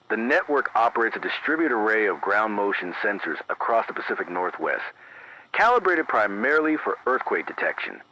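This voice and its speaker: A man playing a careful, grave local radio announcer who reads emergency bulletins in a formal, measured way, repeating key phrases, through an AM radio filter. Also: on the low side, sharp tone. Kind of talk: scripted analog horror news bulletin. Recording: dull AM radio sound, faint noise.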